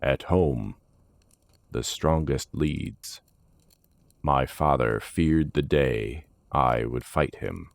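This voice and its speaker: Man voicing a very deep, grim horned warrior. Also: gravelly, dry recording, heavily acted. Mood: solemn, resigned. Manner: terse, low, solemn, deliberate. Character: grim, wounded horned brute warrior, terse and proud.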